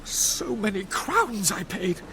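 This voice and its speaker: An actor playing a fussy, wealthy banker in a video game, formal and pompous. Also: male voice, high voice, very animated, slow pace, sharp tone, slight background noise, heavily acted. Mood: anxious, resigned. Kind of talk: video game character acting.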